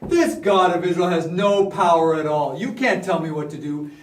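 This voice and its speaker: Casting: man as stubborn, arrogant ancient Pharaoh for Bible storytelling, high and loud, commanding scorn, echoey. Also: moderate pace, close to an everyday voice. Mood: defiant. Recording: echoey room, far from the mic.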